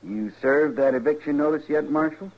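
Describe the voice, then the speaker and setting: An actor playing a rancher's quiet, conciliatory grown son with a rural American accent in radio western style. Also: male voice, on the high side, moderate pace, lightly acted. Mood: guarded, earnest. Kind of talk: 1950s radio western acting. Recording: dull, noisy vintage radio recording.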